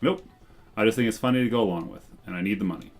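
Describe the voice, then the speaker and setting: A man's voice: lowish voice, racing, soft background music, close to an everyday voice. A man reading a dry, unimpressed bartender. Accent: Irish.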